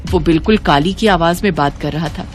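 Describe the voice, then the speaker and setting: A woman's voice: fairly low pitch, fairly quick, soft background music. A woman narrating a scripted fantasy story in a dramatic style.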